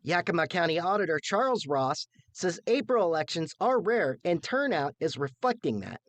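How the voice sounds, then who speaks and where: A man's voice: on the high side, sing-song, normal speed, dry recording. A young American man reading a scripted television news report as a reporter.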